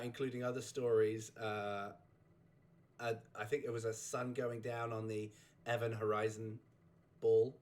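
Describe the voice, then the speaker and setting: A man hosting a casual recap chat, rambling and chuckling as he restarts his sentences, his voice just recovering from a sore throat. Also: medium pitch. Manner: rambling, chuckling, self-interrupting. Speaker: host.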